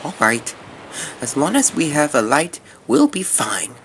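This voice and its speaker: A woman's voice: sits low, sing-song, measured pace, slight background noise, exaggerated voice. A woman playing an earnest, apologetic and anxious young sailboat in an amateur audio drama. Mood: reluctant. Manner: hesitant, pleading.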